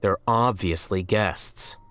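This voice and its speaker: An actor playing an aloof, blunt young scholar in a video game, with a dry, dismissive manner. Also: male voice, low voice, takes it slowly. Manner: dry, detached, deadpan wording.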